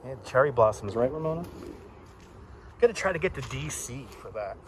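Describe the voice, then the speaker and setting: A Puerto Rican man with a naturally loud voice, hosting a casual walking live stream outdoors and greeting viewers by name on a clip-on mic. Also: mid-range voice, lively intonation, moderate pace, background noise. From Puerto Rico. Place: outdoors on an island in a city. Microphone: wireless clip-on mic. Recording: clear outdoor street ambience.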